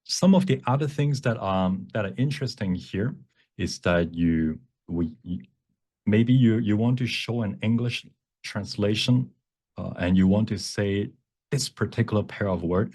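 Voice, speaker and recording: A man with a deep, soft-edged voice leads a live workshop. He explains step by step in a halting, explanatory manner, letting the ends of phrases trail away. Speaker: workshop presenter. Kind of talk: live software workshop teaching with Q&A.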